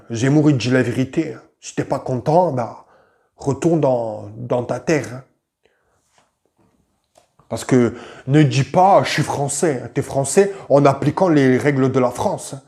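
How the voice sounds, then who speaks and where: A man's voice: mid-range voice, a little mellow. A man giving informal, argumentative commentary, confronting the listener directly and repeating himself for emphasis. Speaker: YouTube commentator.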